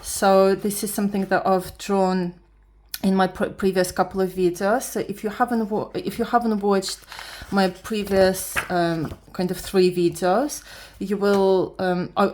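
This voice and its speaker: A woman from Latvia whose first language is Russian, speaking with a Russian accent and just woken up. She talks her way through a drawing lesson in a halting manner, restarting often and reading the instructions aloud. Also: medium pitch, fairly even intonation. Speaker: beginner art vlogger. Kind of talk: casual drawing tutorial.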